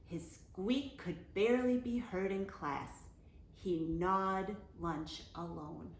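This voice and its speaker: A woman reading a children's picture book aloud in a deliberate, expressive storyteller's manner. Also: medium pitch, roomy sound, a little hiss or hum. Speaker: story time reader.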